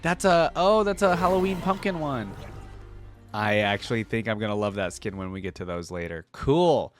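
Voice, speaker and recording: Low-voiced man casually reacting, chatty. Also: lively intonation, normal speed, soft background music. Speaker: reaction video host.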